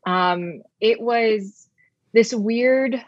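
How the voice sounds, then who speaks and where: A woman's voice: fairly high pitch, extremely slow, dry recording, lo-fi sound. Reflective woman, native Arabic speaker. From United States (Brooklyn, New York). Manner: reflective, with frequent restarts and soft laughs. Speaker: guest, journalist. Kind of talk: candid interview answers.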